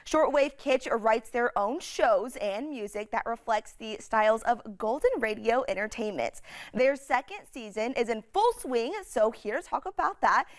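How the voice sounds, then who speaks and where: A woman's voice: fairly high pitch, normal speed. A woman hosting an upbeat morning TV interview, gushing and using casual slang, recorded in a studio. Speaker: TV morning show host.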